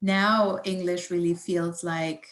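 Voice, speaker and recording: A woman's voice: on the low side, steady tone, slow, slight background noise. A woman with a German accent, a native German speaker, explaining things informally as a guest scientist answering questions. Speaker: guest environmental scientist and researcher. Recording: roomy, echoey sound.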